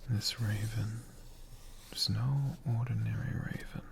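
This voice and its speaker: A man telling a story in a hushed ASMR whisper, in short halting phrases with long pauses between them. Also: lowish voice, fairly even intonation, vocal fry, a little hiss or hum. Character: friendly storytelling dragon.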